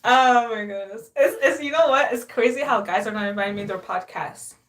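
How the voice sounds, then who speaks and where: Sassy young woman live-streaming, slightly high voice. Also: fairly quick. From Haiti. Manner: sassy, boastful and playful, with frequent laughs. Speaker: livestreamer. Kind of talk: casual live-stream chat.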